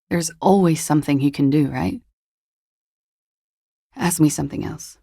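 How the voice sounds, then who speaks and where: Low, languid, jaded disco dancer. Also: female voice, gravelly, mellow, dry recording, clean studio sound, exaggerated voice. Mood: weary, guarded. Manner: languid, wry, guarded, with trailing pauses. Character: jaded, evasive, hungover young female disco dancer. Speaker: voice actor in a video game. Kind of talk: video game character dialogue.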